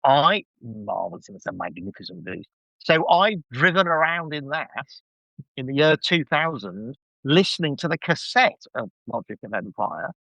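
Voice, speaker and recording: Effusive middle-aged man with swooping pitch. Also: big pitch swings, normal speed, gravelly, bright, forceful tone, no room sound, dull audio. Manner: effusive, gushing, self-deprecating. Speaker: podcast guest. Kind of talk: casual enthusiastic review chat.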